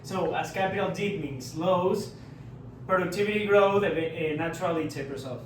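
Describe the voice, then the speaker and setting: Young man as a showy consultant boss, high voice, emphatic, roomy echo, distant mic. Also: normal speed, a little hiss or hum, lightly acted. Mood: explaining. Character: boastful male consultant team boss. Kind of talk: amateur skit acting. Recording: echoey room, far mic.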